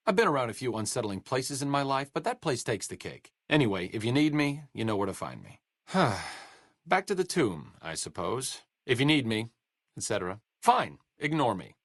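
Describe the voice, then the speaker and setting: A dry, sarcastic wasteland doctor. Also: male voice, on the low side, dry recording. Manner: dry, sarcastic, self-deprecating wit. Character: sardonic bookish male wasteland doctor, adult. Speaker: game companion character. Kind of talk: video game voice acting.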